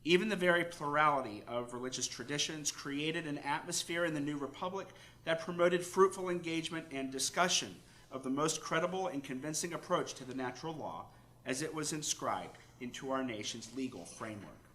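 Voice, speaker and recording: American male priest reading a formal lecture. Bright, forceful tone, slightly high pitch, measured and emphatic. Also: poor audio. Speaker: priest reading a paper at a conference. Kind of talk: formal read-aloud lecture.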